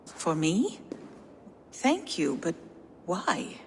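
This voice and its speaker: A woman acting in a video game as a gentle, naive, dutiful daughter of giants, earnest and softly formal. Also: fairly low pitch, big pitch swings, very slow, vocal fry, big-room sound, slight background noise, full character voice. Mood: flustered.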